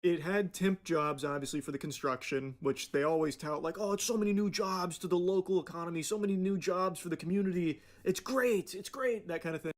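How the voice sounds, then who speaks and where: Scornful man with a slightly high voice. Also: brisk, few pauses. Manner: sarcastic, scornful, swearing. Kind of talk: casual opinionated commentary.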